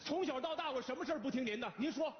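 Earnest, very high-voiced stubborn son. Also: male voice, rapid-fire. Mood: flustered pleading. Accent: northern Chinese Mandarin. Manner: pleading, emphatic. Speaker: comedy sketch actor. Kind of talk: theatrical comic sketch acting. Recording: echoey hall, dull sound, clear noise.

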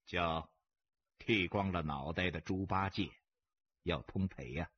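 A man voicing a calm, defiant stranger who speaks coolly and mockingly in an audiobook story. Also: low voice, drawn-out words, breathy edge, dull audio, voice pushed down, full character voice. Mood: dry mockery. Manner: flat, cool, quietly mocking. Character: cold, unkempt male drifter, calmly defiant stranger.

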